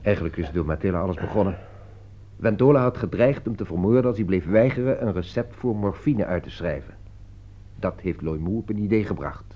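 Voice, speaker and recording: A man acting an articulate, insistent gentleman who persuades and commands as he defends a friend in a Dutch radio play. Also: fairly low pitch. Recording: dull, lo-fi radio play recording.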